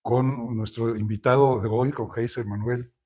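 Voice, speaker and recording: A man from Veracruz, Mexico, with a Mexican Spanish accent, hosting an interview in a relaxed, conversational way with hesitant restarts. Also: mid-range voice, measured pace. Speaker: interviewer host. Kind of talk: casual interview.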